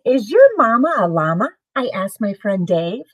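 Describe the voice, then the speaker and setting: Animated middle-aged woman reading to kids. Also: medium pitch, moderate pace, slightly rough, no echo. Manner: animated, sing-song emphasis for young listeners. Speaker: storyteller reading a picture book aloud to children. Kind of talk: expressive children's read-aloud. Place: small home room with bookshelves, indoors.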